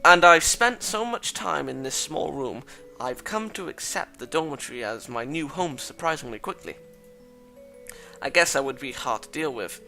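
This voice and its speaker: A man's voice: on the high side, expressive, moderate pace, vocal fry, bright, forceful tone, heavily acted. A man reading a thoughtful teenage schoolboy's lines and inner narration in a plain, reflective way, with faint music behind.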